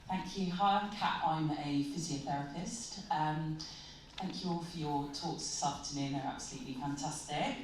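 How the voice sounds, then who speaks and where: Low-voiced woman, friendly questioner, distant mic. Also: moderate pace, slight background noise. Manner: polite, friendly, a little hesitant. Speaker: physiotherapist in the audience. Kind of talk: audience question at a conference. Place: large conference hall. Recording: echoey hall, distant mic.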